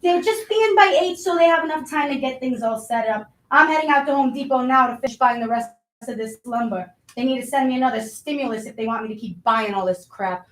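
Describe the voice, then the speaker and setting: A woman's voice: sits high, a little fast, few pauses, close to an everyday voice. A woman playing an abrasive, impatient, foul-mouthed restaurant boss in a staged reading, brash, blunt and badgering. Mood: bossy, grumbling. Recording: roomy echo.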